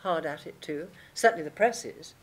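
An older actress speaking in a searching, hesitant way on a stage panel, into a table mic. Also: female voice, low-pitched, fairly quick.

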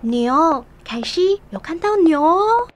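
A young mother in a radio drama. She coaxes her little child in sing-song baby talk, with an extremely high-pitched voice. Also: big pitch swings, takes it slowly, full character voice. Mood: coaxing. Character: young mother coaxing her toddler, speaking Mandarin.

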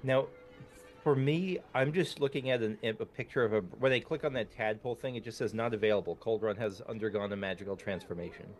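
A man's voice: on the low side, a little fast. A man casually chatting as a co-op player during a game session. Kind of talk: casual gaming chat.

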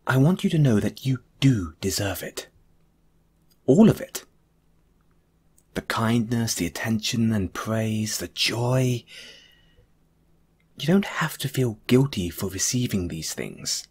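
A man with a very breathy, husky voice speaks slowly and gently, as in a comfort audio roleplay. His mid-pitched voice rises and falls expressively, slipping toward a whisper, intimate and reassuring throughout. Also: expressive. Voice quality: breathy, hushed, at times whispering.

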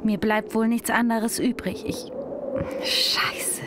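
Anxious young woman hiker, highish voice acted. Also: little pitch movement, slow, in a whisper. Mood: reluctant, fearful. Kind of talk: audio drama acting. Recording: noisy outdoor ambience behind dialogue.

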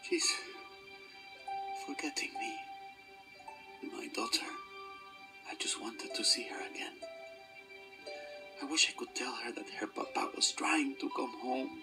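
A man voicing a scrappy, emotional skeleton father in a storybook read-along, expressive and pleading. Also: medium pitch, a little slow, long pauses, whispered, music in the background, lightly acted, low-quality recording. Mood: sorrowful.

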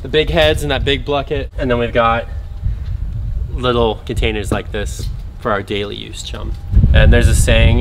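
A man's voice: on the low side, heavy background noise. A young man chatting casually to camera on a sailboat deck, laid-back and swearing with a laugh. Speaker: sailing vlog crew member. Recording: music playing behind.

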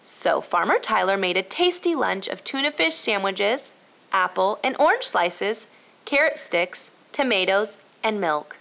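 Expressive woman reading a children's storybook. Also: medium pitch, measured pace, vocal fry. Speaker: narrator. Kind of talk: scripted children's storybook narration.

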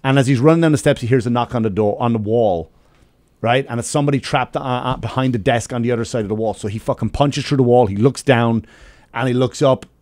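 Male comedian, Irish accent, quick and sweary, emphatic podcast chat, dry close-mic sound. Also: medium pitch, darkish tone, dry recording. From Ireland. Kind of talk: casual podcast storytelling. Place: small podcast studio. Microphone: close studio microphone with headphones.

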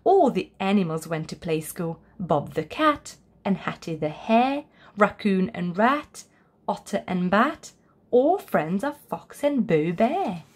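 A woman reading a children's picture book aloud as a storyteller, in an expressive, deliberate way. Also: medium pitch, breathy edge. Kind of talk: scripted children's story narration.

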